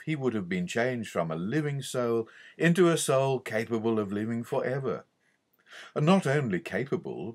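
A man reading a theological audiobook in a measured, formal and emphatic manner. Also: fairly low pitch. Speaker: audiobook reader. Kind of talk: scripted narration of a theological book.

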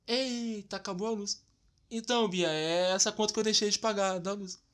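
A woman's voice: mid-range voice, steady tone, normal speed, a bit bright, close to an everyday voice. A woman with a Brazilian accent voicing a carefree, teasing boy best friend in a children's comedy cartoon, breezy and colloquial.

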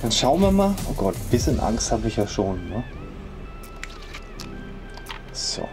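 A woman with a deep, low voice hosts a home tech review, talking in a loose, rambling way. She keeps tacking on little "right?" asides and trails off mid-thought. Music plays clearly underneath. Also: sing-song, unhurried. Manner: rambling, chatty, hedging with "ne?" and fillers. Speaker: tech channel host. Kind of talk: casual product review vlog. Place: indoors at home, moving between rooms.

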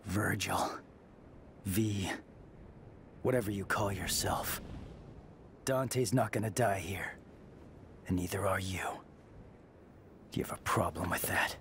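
A man's voice: low-pitched, slow pace, long pauses, fairly bright tone, a little hiss or hum, heavily acted. A man acting a defiant, hot-headed young demon hunter in a video game, with intense, emphatic delivery. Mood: grim, defiant. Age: young adult.

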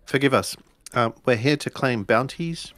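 A man's voice: mid-range voice, a little slow, a bit bright, no room sound, pitched above the performer's own voice, lightly acted. A man playing a polite, dutiful samurai retainer in a tabletop game, speaking formally and courteously. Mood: apologetic.

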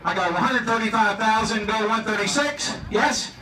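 A man's voice: high-pitched, moderate pace. An American man working as an auctioneer, chanting repetitive, rhythmic bids to a live crowd and pushing bidders along. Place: outdoors on a marina dock. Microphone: picked up by a distant camera. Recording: distant, echoey outdoor recording with crowd.

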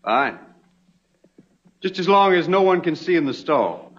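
A young actor playing a polite, smooth-talking stranger in an Old West TV drama, on a muffled vintage soundtrack. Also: male voice, on the high side, sing-song, measured pace. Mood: persuasive. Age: young adult.

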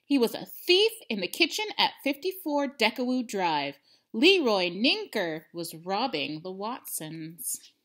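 A woman in her thirties reading a storybook aloud to children, expressively and with emphatic pauses. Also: medium pitch, very animated, fairly bright tone. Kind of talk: animated children's read-aloud. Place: indoors, living room at home.

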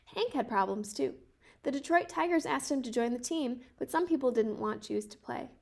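A woman in her twenties reading a children's picture book aloud in a careful, measured way. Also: sits high, expressive, gravelly. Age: 20s. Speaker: storybook reader.

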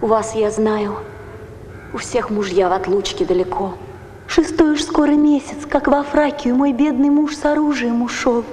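Echoey, resolute Greek wife played by an actress. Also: female voice, on the high side, normal speed, mellow, natural voice. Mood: impassioned. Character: determined Greek wife rallying women against war. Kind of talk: theatrical film acting. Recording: echoey hall, long reverb, background noise.

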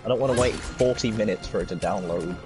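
A man's voice: lowish voice, fast. A man casually coaching friends through an online game, giving instructions and joking. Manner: instructive, jokey storytelling. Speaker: experienced player coaching friends. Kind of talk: casual gaming chat. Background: loud game music.

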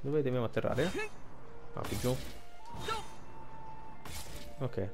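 A man chats casually through a game playthrough. His voice sits at an ordinary middle pitch, and he swears freely in loose, colloquial speech. Faint game music plays behind him. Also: takes it slowly. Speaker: video game streamer. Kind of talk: casual game commentary with reading dialogue aloud. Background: video game music.

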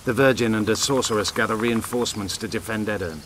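Man voicing elf rebel leader, proud, bitter, low edged voice, grave oratory, fantasy game, faint noise. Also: quick pace, lightly acted. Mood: commanding. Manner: grave, declamatory, forceful. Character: proud, bitter male elven rebel commander. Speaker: video game character actor. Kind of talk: game dialogue acting.

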